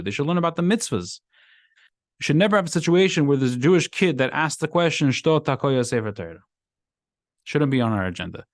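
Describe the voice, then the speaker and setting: Animated rabbi teaching a class, dry sound. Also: male voice, on the high side, no echo. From Brooklyn, USA. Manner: animated, repeats phrases, emphatic rising lines. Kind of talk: casual Torah class talk. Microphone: microphone in class.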